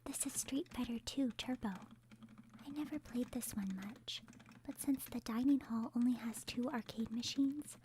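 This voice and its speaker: A woman voicing a quiet, focused teenage gamer girl in a soft, matter-of-fact ASMR whisper. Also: on the high side, moderate pace, close to an everyday voice. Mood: focused, calm. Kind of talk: whispered ASMR character roleplay. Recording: noisy, with button and key clicking. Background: clicking of keys or buttons.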